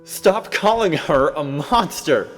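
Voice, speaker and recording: Guy acting out a furious young dude yelling his head off, way higher than his normal voice, roomy echo with a bit of music behind. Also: expressive, takes it slowly. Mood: furious screaming. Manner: shouting, raw fury. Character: enraged young man screaming at his captor. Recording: reverberant room with faint music.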